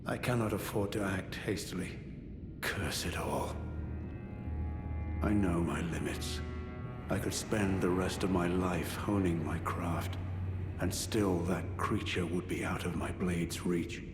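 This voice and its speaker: Aging male fantasy game knight, deepish voice, weary, ruminative, honorable, echoing space. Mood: grave, bitter. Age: older. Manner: measured, formal, ruminative. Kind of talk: dramatic fantasy video game dialogue. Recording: echoey hall with long reverb, music behind.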